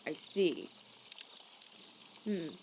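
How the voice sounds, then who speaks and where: A woman voicing a haughty, prejudiced male envoy in an animated comic dub, stiff, officious and condescending. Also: very slow, a little hiss or hum. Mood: cold, officious.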